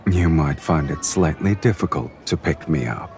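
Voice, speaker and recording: A man's voice: extremely low pitch, expressive, vocal fry, a little mellow, faint music behind, some chatter behind, exaggerated voice. A man playing a self-assured fantasy nobleman in a romance game, speaking with dry, teasing ease. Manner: unhurried, dryly teasing. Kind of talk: scripted game romance acting.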